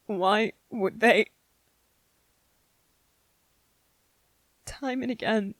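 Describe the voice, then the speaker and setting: A woman playing an anxious, gentle, earnest young male soldier in a soft-spoken ASMR drama, speaking haltingly. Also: a little high, no echo. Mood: anxious, brooding. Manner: hesitant, halting, repeating phrases.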